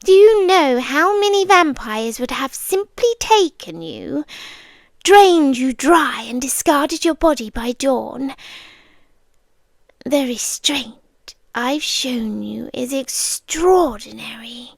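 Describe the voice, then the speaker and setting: A British woman playing a possessive, lovesick vampire girlfriend in an intimate ASMR roleplay, speaking softly and breathily, often slipping into whispers, with a coaxing, lingering delivery. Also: high-pitched, expressive, slow, gravelly, no echo, exaggerated voice. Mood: wistful, menacing. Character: possessive, lovesick immortal vampire girlfriend, tender yet menacing.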